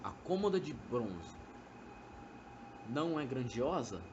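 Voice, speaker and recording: A slightly noisy amateur radio drama recording of a young man playing a melancholic Brazilian lover. He is brooding and insinuating, with a morbid calm and a medium-pitched voice. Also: slow pace, muffled recording. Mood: quietly menacing. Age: young adult. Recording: amateur recording with background noise.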